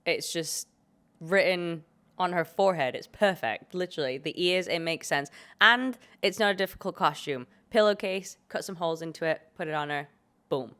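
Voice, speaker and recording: A young woman with a British accent chats through her podcast, her voice worn hoarse by a cold. She speaks low and keeps things relaxed and jokey as she goes. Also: moderate pace. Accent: British English. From Britain. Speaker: podcast host. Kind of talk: casual chat.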